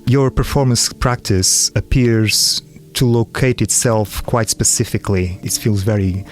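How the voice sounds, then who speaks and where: A man with a low voice talks as a podcast host in a reflective interview. He phrases things carefully and at length, pausing and circling as he thinks.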